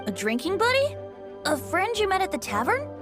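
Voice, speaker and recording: High-voiced, coy, teasing sorceress. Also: female voice, wildly swooping pitch, a little fast, exaggerated voice. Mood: sweet, knowing. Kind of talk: video game voice acting. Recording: faint music behind.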